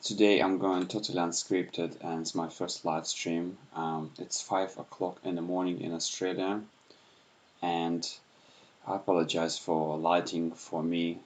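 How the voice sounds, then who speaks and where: Soft-spoken, deep-voiced man with a Russian accent. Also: level tone, a little slow. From Ukraine. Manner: speaks softly with frequent hesitations. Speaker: YouTuber. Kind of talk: unscripted livestream talk. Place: home in the early morning.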